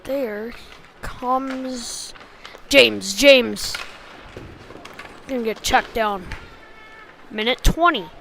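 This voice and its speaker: A woman's voice: a little high, drawn-out words, creaky, pauses often, a bit bright. A woman giving informal live play-by-play of a youth ice hockey game in short, clipped, colloquial phrases. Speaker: play-by-play commentator. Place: sports venue, ice rink. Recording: amateur livestream with faint background noise.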